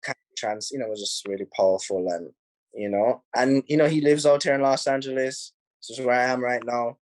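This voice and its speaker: A man with a Jamaican accent, a film director answering interview questions in a relaxed, rambling way, full of "you know"s. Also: medium pitch, brisk, dry recording, rough recording. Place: home in Los Angeles.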